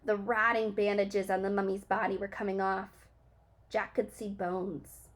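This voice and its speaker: A woman's voice: mid-range voice, little pitch movement, measured pace. A young woman teacher reading a children's book aloud, stopping to ask questions and explain.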